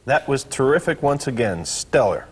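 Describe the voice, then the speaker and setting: An actor playing a glib, friendly comedy-club emcee with a breezy manner, at a sitcom read-through. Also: male voice, mid-range voice, big pitch swings, normal speed, a little hiss or hum, close to an everyday voice.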